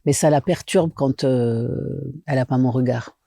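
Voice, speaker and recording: A middle-aged woman from Belgium, an actress and director, chatting lively in an interview with many fillers and restarts. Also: a bass voice, unhurried, gravelly, dry recording. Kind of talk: casual interview chat.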